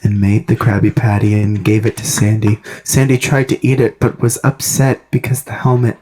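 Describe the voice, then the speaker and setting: A man narrating a story aloud for friends in an amateur, dramatic, halting way. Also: lowish voice, little pitch movement, a little mellow, rough recording.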